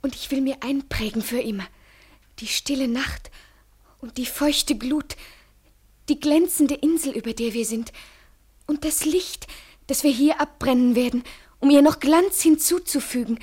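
A devoted young woman in love speaks in a poetic radio play. Her high voice is soft and dreamy, with a solemn, lyrical delivery. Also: measured pace. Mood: tender, reverent.

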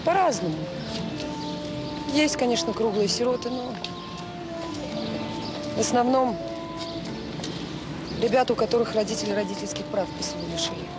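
A woman's voice: on the high side, expressive, normal speed, long pauses, natural voice. An actress playing a caring, weary middle-aged woman who runs a children's home, conversational and earnest in a radio drama. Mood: friendly. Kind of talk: naturalistic Soviet radio drama acting. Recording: 1980s radio drama, prominent music behind.

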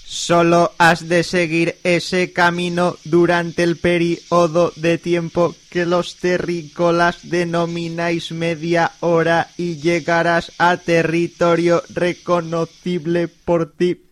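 A man's voice: on the high side, monotone, slow, few pauses. A man playing a polite, emotionless Martian alien in a comic radio serial, speaking in a stilted, formal, literal way. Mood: flat, detached.

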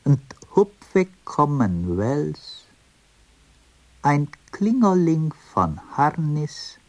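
A man reciting an experimental poem slowly and deliberately, at times in a whisper. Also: a little high, darkish tone, low-quality recording. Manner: slow, deliberate, partly whispered. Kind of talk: poetry recitation.

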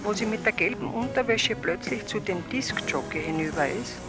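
A woman's voice: medium pitch, expressive, normal speed, music in the background, natural voice. A woman playing an eager, chatty small-town caller to a night radio show in a radio drama, with an Austrian accent and a hesitant, confiding manner. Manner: hesitant, groping for words, confiding.

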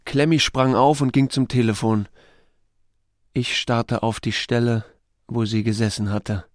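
A man's voice: medium pitch, fairly even intonation, unhurried, no room sound, close to an everyday voice. An actor playing an unflappable small-town sheriff who tells his own story in an audio drama, dry, wry and understated. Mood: wry narration. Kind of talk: first-person narration and dialogue in audio drama.

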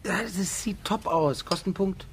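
A man's voice: high voice, unhurried, a little hiss or hum. An actor playing an irritable, evasive married man who mutters terse asides in a naturalistic radio drama. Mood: irritated.

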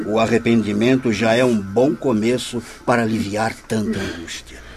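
A man's voice: fairly low pitch, normal speed, a crowd in the background, lightly acted. An actor playing a compassionate, solemn spiritist leader in a radio drama, speaking like a fatherly preacher. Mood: gentle reproof. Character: compassionate, solemn male spiritist leader consoling lost souls. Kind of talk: radio drama acting, prayerful oratory. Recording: music behind the voice.

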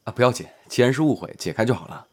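A man's voice: mid-range voice, lively intonation, rapid-fire, slightly rough. A man playing a modest, considerate male minor actor in an audio drama, speaking politely and mildly, a little hesitant.

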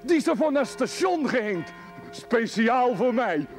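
An actor playing a naive, stubborn, lovestruck village lad in a television drama, speaking simply and earnestly. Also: male voice, extremely high pitch, very animated, measured pace, soft background music, full character voice. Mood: proud, eager.

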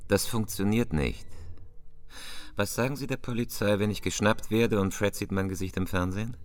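An actor playing a cool, calculating criminal on the run in a radio play, speaking tersely and with control. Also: male voice, fairly low pitch, moderate pace, close to an everyday voice. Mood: cold, commanding. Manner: terse, controlled, matter-of-fact.

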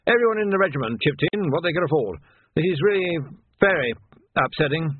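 A man's voice: on the high side, lively intonation, fairly quick, husky, dry recording, narrow, tinny audio, voice pushed up. A man voicing a hesitant, mournful, genteel older police captain in an audiobook. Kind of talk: audiobook character acting.

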